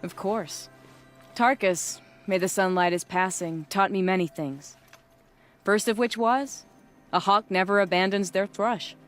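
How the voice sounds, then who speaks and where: A woman's voice: mid-range voice, unhurried, soft background music, natural voice. A woman playing a confident, proud hunter and mentor in a video game cutscene, assured and challenging. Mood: proud assurance.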